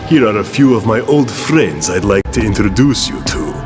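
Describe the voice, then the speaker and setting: An actor playing a smug, grandiose villainous colonel in a video game, theatrical and gloating. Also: male voice, on the low side, lively intonation, moderate pace, lightly acted. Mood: taunting, gloating. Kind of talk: video game cutscene acting. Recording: music under the voice.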